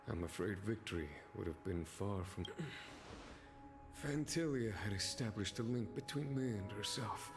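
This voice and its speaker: A man playing a calm, commanding, wounded general in a video game, speaking in a measured and formal way. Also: lowish voice.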